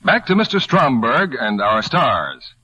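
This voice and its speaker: Male radio announcer, lively swooping delivery, husky, vintage commercial, dull dry recording. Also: mid-range voice, a little slow, dry recording. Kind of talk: 1940s radio advertising pitch.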